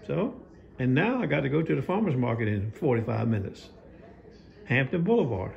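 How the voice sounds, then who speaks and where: A man's voice: mid-range voice, sing-song, normal speed, vocal fry, darkish tone, lo-fi sound. A man, talking in a folksy, rambling way while filming himself on his phone, partly at an outdoor market. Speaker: knife sharpener vlogger. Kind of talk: casual vlog narration. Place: outdoor farmers market parking lot. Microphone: phone camera held by speaker.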